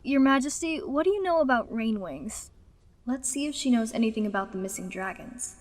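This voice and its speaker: A woman voicing a sardonic, guarded young dragon with a dry, sarcastic delivery for an animated fan dub. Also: a little high, fairly quick, no room sound, lightly acted.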